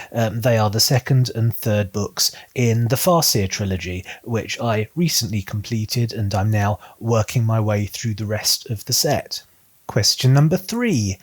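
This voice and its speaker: A man with a mid-pitched voice presents a book vlog. He speaks straight to his viewers in a polite, chatty way, moving between prepared presenting and casual asides. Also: slightly rough. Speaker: book vlogger.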